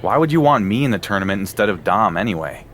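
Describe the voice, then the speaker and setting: A low-voiced wry underdog wrestler. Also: male voice, expressive, quick pace, a bit bright, a little hiss or hum. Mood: determined. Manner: dry, wry, determined. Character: young male wrestler, cocky underdog.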